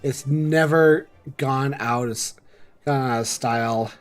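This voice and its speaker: A man's voice: mid-range voice, slow pace, soft background music. A man chatting casually on a game stream, stumbling into repeated restarts. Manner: stammering restarts. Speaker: co-host guest. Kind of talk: casual chat.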